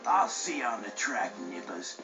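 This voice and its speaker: A man's voice: on the low side, sing-song, moderate pace. A man playing a menacing Australian racer villain in a video game, mocking and threatening in thick slang. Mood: taunting, menacing. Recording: dull game audio, music behind.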